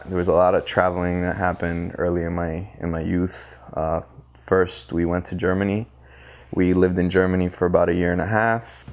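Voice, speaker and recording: A man, giving reflective interview answers in a halting, thoughtful way. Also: sits low. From Costa Rica, later United States. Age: 20s. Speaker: interview guest, photographer and visual artist. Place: living room, indoors on a sofa. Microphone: clip-on lapel mic.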